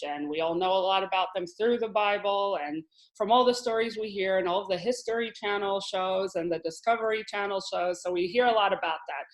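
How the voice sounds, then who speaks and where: A woman in her thirties, a language teacher, speaks about her life in an easy, chatty way and laughs easily. Her voice sits at a middle pitch. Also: rough recording. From Israel. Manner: chatty, laughs often, with restarts. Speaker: Hebrew teacher, guest. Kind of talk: casual conversational interview.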